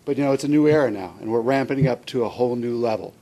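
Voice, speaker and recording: A man's voice: medium pitch, fast, dark tone. A man speaking informally as a guest presenter at a live event. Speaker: guest company president presenting. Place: live press event with a microphone. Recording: noisy live event with clicks.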